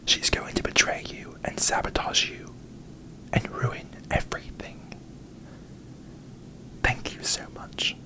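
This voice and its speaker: A man voicing a haughty, bitter young fantasy queen in a breathy ASMR whisper, with pompous, formal diction, as parody. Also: slow pace, pauses often, close to an everyday voice, poor audio. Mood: gracious, haughty.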